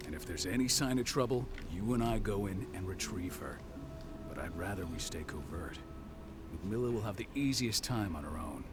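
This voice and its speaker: An actor playing a calm, commanding werewolf pack leader in a video game, speaking in a measured, stoic way. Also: male voice, deep voice, over music. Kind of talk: video game cutscene acting.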